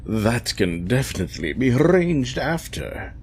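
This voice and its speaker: An actor playing an insolent, scheming creature-maker in an audio drama, talking in a wordy, formal, sly way. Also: male voice, medium pitch, very animated, unhurried, darkish tone, a little hiss or hum. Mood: smug, defiant. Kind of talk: dramatic audio fiction acting.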